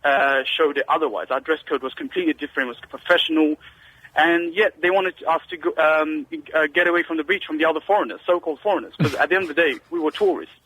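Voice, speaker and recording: A man on a call-in line talks quickly, stumbling through restarts and fillers as he tells his story. His voice is bright and a little husky. The sound is thin and phone-like. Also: on the high side. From Britain. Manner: rambling, hesitant, many restarts and fillers. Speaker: caller.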